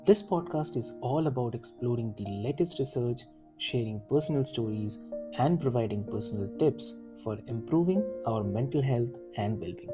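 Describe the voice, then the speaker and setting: A scripted podcast introduction read in an even, polished way. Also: mid-range voice, moderate pace. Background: guitar music.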